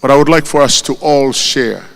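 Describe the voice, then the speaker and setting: A man with a Tongan accent, whose first language is Tongan, delivering a formal funeral eulogy in a solemn, measured way and lifting his voice on each name. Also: medium pitch, creaky, a bit bright. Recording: long hall reverb.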